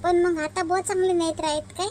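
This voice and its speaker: A very high, almost childlike voice put on by a woman plays a village sister-in-law in a cartoon. She speaks rural Vidarbha Marathi in an emphatic, plaintive way. There is some background noise. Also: little pitch movement, fast, darkish tone. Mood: concerned, indignant. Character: two village sisters-in-law, aggrieved young wife and protective visitor. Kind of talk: melodramatic cartoon family dialogue.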